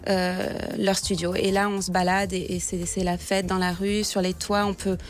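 A woman's voice: medium pitch, normal speed. Casual woman guest on radio. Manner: casual, lively, with restarts. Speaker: guest, guidebook author. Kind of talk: radio interview answers.

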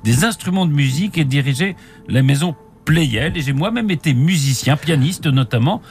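Animated Frenchman as radio quiz master. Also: a little high, moderate pace, soft background music. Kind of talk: chatty radio quiz presenting, reading clues.

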